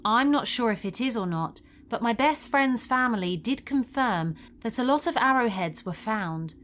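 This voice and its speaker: A woman's voice: fairly high pitch, animated, moderate pace, a bit bright. Woman narrating eerie tales over ambient noise. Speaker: story narrator. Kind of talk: scripted paranormal story narration.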